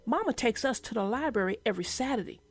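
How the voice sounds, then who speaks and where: Woman doing young boy bear cub, sensible big brother, storybook voice, thoughtful, explanatory, animated pitch, light music bed. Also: quick pace. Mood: reasonable, doubting. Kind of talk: children's storybook character voice.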